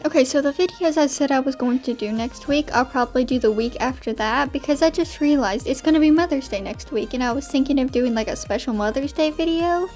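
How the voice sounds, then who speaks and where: Chatty high-voiced young woman, slightly hoarse. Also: a little fast, hardly any pauses, a little mellow, soft background music. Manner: chatty, many "like" fillers. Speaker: art YouTuber. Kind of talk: casual voiceover narration.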